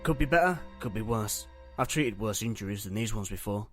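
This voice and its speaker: A man playing a calm, reassuring ship's medic in a roleplay series, speaking matter-of-factly. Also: lowish voice, brisk, no echo, studio quality, faint music behind. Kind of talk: Minecraft roleplay web series voice acting.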